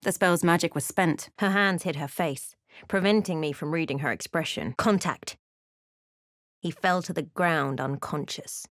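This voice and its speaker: A measured, wry fantasy adventuress, dry close sound. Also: female voice, sits low, fairly bright tone, dry recording. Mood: measured, watchful. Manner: measured, literate, dramatic storytelling with wry asides. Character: observant young adventuress, a fantasy game companion recounting her journey. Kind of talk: video game voice lines, dramatic narration and remarks.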